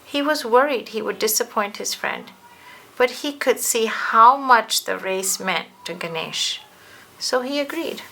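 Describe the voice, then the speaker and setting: A woman narrating a children's picture book aloud, expressively and with deliberate emphasis. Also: a little high, lively intonation, vocal fry, sharp tone. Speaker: storybook narrator. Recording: faint background noise.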